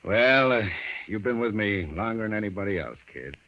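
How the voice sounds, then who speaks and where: An actor playing a tough, suspicious big-shot gangster boss in an old radio crime drama, with slangy, domineering talk. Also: male voice, on the low side, expressive, normal speed. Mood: confiding, scheming. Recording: muffled old radio recording.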